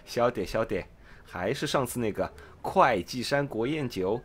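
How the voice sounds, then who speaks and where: A man's voice: medium pitch, expressive, unhurried, husky. A man playing an eager, impatient purchasing agent in a parody radio drama, exclamatory and theatrical as he chums up to his boss. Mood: gleeful.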